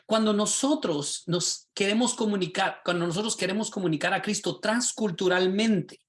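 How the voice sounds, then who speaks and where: Animated man teaching a class. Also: sits high. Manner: animated, rhetorical questions, asks the class directly. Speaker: pastor and teacher.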